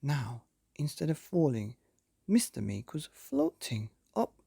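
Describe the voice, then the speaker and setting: A man hosting and reading a children's bedtime story, with theatrical, dramatic emphasis and drawn-out words. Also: a little high, sing-song, gravelly, dark tone, slightly rough, no room sound, studio quality. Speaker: podcast host and story reader.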